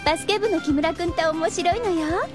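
An actress playing a sweet, innocent high school girl with a gentle, girlish manner in a Japanese audio drama. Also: a little fast, over music. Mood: cheerful.

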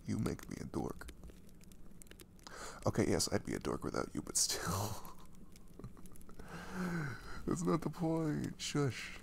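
A man playing an affectionate, dorky young boyfriend in an ASMR roleplay, speaking softly and breathily, often near a whisper, in a halting, tender way. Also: a little high, very animated, vocal fry, slight background noise, exaggerated voice. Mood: playful, affectionate.

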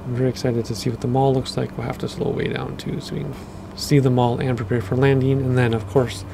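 Chatty gravelly-voiced man, slightly hoarse. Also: mid-range voice, flat delivery, fast talker, background noise. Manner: rambling, chatty, with many fillers. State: losing his voice. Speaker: flight sim gaming host. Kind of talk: casual gameplay commentary.

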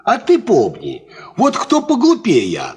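An actor playing a worldly, cocksure peasant farmhand, explaining things in folksy fashion in a 1950s Soviet radio play. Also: male voice, high-pitched, takes it slowly, husky, exaggerated voice. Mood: knowing. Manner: folksy, animated, instructive.

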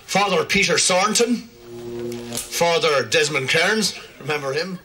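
A vain, gloating priest. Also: male voice, a little high, lively intonation, unhurried, music in the background. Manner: pompous, score-settling speechmaking. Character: vain middle-aged Irish priest, scheming and self-pleased. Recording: sitcom audio with noisy background.

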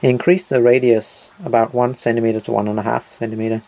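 A man's voice: mid-range voice, flat delivery, quick pace, creaky, dark tone. A man narrating a step-by-step DIY tutorial in short, simple, matter-of-fact instructions.

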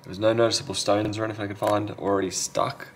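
Low-pitched man muttering through hands-on work. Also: flat delivery, fast talker. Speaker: phone repair technician and video host. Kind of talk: casual hands-on repair commentary. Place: indoor repair workbench. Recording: clear background noise.

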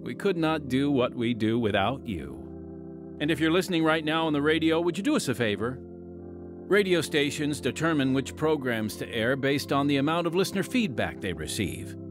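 Animated American male radio announcer over music. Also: medium pitch, moderate pace. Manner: earnest, emphatic, polished delivery. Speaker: radio program host and announcer.

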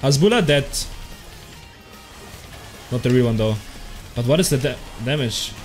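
A young man from Germany with a heavy German accent, excitably chatting with his stream chat while playing, swearing and laughing freely. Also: mid-range voice, unhurried, soft background music. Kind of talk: casual live game commentary, reading chat. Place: small room at home.

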